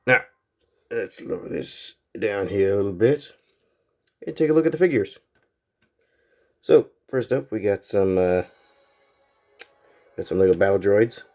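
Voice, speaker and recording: A man's voice: lowish voice, a little slow, pauses often, faint music behind. A man casually reviewing a toy set, sounding congested and a little nasal from a cold, rambling with plenty of fillers. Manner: rambling, relaxed, lots of fillers.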